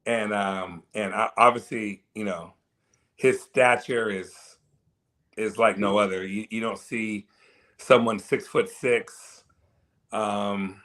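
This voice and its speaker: A man guesting in a casual interview, hoarse and tired, rambling and repeating words. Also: fairly low pitch, steady tone, slow pace, fairly bright tone. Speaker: guest, event organizer.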